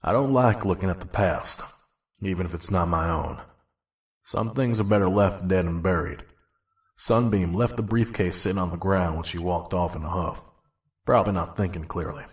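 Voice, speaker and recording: A man playing a world-weary, pragmatic pony junk peddler in an audio drama, gruff and brooding. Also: sits low, moderate pace, far from the mic, lightly acted. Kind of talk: audio drama dialogue and first-person narration.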